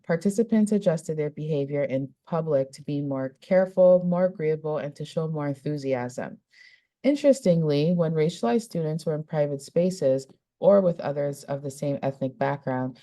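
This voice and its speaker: A young woman hosts a podcast interview, reading out study summaries in a measured way and then asking friendly questions. Her voice sits low for a woman, with a dark, mellow tone. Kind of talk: podcast interview, partly read from a script.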